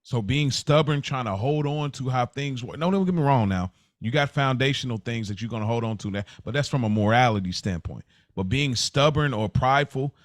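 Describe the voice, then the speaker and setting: A man's voice: mid-range voice, dry recording. An American man hosting a podcast, talking casually and motivationally in a blunt, slangy, emphatic way. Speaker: podcast host.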